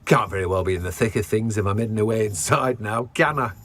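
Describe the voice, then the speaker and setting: A man's voice: lowish voice, fast talker, fairly bright tone, slight background noise, lightly acted. An actor playing a chatty, sociable male plant vendor in a video game. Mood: friendly. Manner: chatty, friendly.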